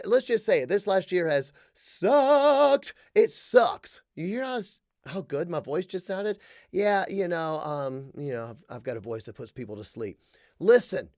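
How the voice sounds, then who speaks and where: A man in his thirties hosting a solo podcast, ranting in an animated, sarcastic way with quick jokes. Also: high-pitched, no echo, studio quality. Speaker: podcast host. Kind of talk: casual solo podcast rant. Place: small room with wood-plank wall.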